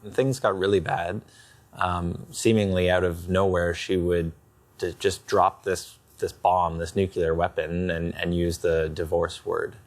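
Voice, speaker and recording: Low-voiced man, halting and candid. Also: level tone. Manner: hesitant, halting. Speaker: husband in couples counselling. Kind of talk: candid personal account.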